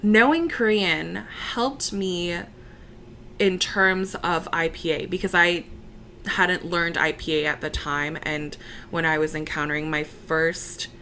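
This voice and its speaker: Slow, bright-toned young woman chatting. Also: lowish voice, a little hiss or hum. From United States. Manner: relaxed and chatty, many fillers, laughs easily. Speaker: interview guest, opera singer. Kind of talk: casual conversational interview.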